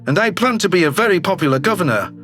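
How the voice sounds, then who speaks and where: A man's voice: sits high, lively intonation, fast talker, fairly bright tone, faint music behind, voice pushed down. A man voicing a brash, slick alien governor who talks with glib self-assurance, in a sci-fi audio drama. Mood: smug, pragmatic. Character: brash, slick alien politician, newly elected male governor. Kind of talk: audio drama character reading.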